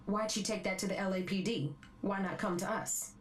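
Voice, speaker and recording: A woman's voice: mid-range voice, fairly quick, slight background noise, natural voice. A woman playing a skeptical federal agent, politely challenging in a video game briefing. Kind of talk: video game cutscene acting. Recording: echoey room, faint music.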